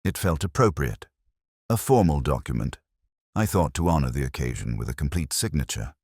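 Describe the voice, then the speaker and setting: Composed, guarded Victorian lady of a storybook, read by a man, formal, very low pitch, lively inflection. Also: moderate pace, husky, no room sound, studio quality, heavily acted. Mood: evasive. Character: guarded, composed upper-class Victorian woman, the niece. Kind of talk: audiobook character dialogue.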